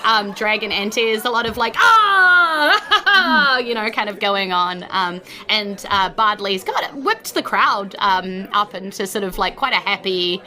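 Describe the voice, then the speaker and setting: A woman's voice: medium pitch, expressive, unhurried, few pauses, bright, forceful tone. A woman, narrating a tabletop game as game master in an informal, conversational way with casual asides.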